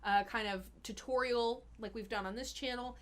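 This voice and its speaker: A woman's voice: a little high, fairly even intonation, moderate pace. A young woman hosting a casual live-stream Q&A as a health coach, chatty and giggly with lots of fillers. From Austin, Texas.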